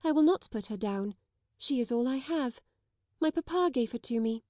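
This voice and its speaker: A small, proud girl who speaks low, steady and mournful, as a woman reader puts her on, her voice lifted well above the reader's own. The recording is close and dry. She sounds like a child holding herself together. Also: moderate pace, no room sound, lightly acted. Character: proud grieving little girl, quietly steadfast.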